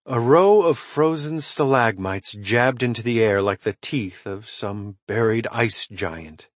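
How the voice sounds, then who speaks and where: A man reading a suspense thriller novel as scripted narration, in a dramatic, measured storytelling manner with emphatic swells and hushed drops. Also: mid-range voice, animated, no room sound. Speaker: audiobook narrator.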